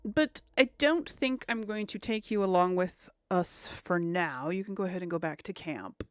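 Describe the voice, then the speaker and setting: A woman hosting a casual let's play, thinking aloud in a folksy way with a creaky voice as she comments on the game. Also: fairly low pitch, animated, normal speed, no echo. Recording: faint background game noise.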